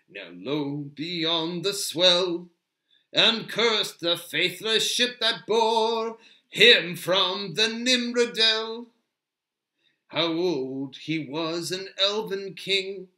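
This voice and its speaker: A man's voice: on the high side, pushed and bright. A man voicing a grave, wistful male elf who recites verse and lore in a lyrical, solemn storybook manner. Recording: roomy, echoing sound.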